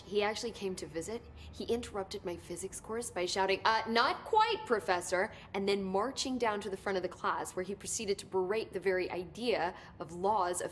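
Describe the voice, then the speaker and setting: An actress playing an eager, nerdy research scientist in a video game, chatty, pedantic and easily sidetracked. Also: female voice, medium pitch, normal speed, a little hiss or hum, lightly acted. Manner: chatty, pedantic, rambling about details. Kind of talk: video game dialogue acting.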